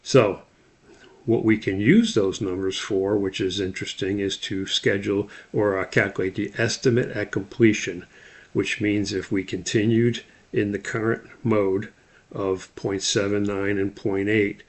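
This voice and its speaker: A man's voice: lowish voice, fairly even intonation, measured pace. A man giving an instructional lecture, explaining things methodically step by step as he talks through slides. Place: voice-over on a slide screen recording.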